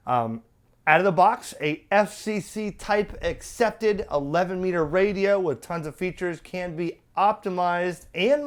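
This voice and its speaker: A man giving an unscripted product demo as a radio hobbyist, rambling in a folksy way with bits of trucker slang. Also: fairly high pitch. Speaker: radio hobbyist reviewing gear for viewers.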